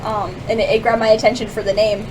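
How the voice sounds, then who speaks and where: Young woman, radio guest, slightly high voice, casual halting chat, distant mic, clear background noise. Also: level tone. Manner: halting, rambling, frequent "like". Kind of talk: casual chat about music.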